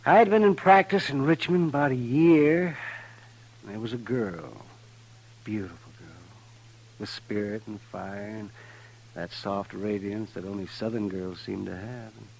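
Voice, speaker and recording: An actor playing an aging, wry and weary frontier doctor in an old-time radio western, musing with dramatic pauses. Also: male voice, fairly low pitch, creaky, a little mellow. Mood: weary, confessional. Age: middle-aged. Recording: noisy vintage 1950s radio recording.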